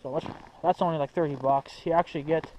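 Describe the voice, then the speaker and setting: A man's voice: a little high, steady tone, fast, mellow. A young man chatting casually to viewers as a vlog host, rambling and slangy as he thinks aloud. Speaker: hobbyist video host. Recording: muffled, noisy amateur recording.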